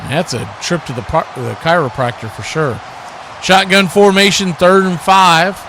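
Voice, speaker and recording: An American man giving live play-by-play football commentary in a folksy, animated way, full of homespun exclamations and bursting into loud calls. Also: high voice, a little slow, music in the background. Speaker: play-by-play sports commentator on a radio watch party.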